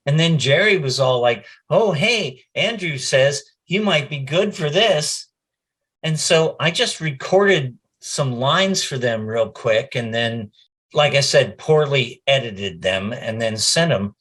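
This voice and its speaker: A middle-aged man with an average-pitched voice talks at a slow, easy pace. He is being interviewed as a voice actor and chats informally, acting out little quotes as he tells his stories. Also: lively intonation, fairly bright tone, husky. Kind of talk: casual anecdotal interview chat.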